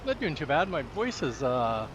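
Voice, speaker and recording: Raspy man chatting over loud noise. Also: medium pitch, sing-song, moderate pace, highs cut off. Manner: chatty, chuckles between remarks. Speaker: gaming channel host. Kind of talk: casual let's-play commentary. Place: small home room.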